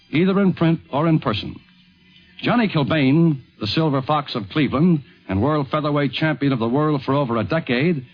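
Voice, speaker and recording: A man narrating a scripted 1950s radio documentary. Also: on the high side. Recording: muffled vintage 1950s radio broadcast.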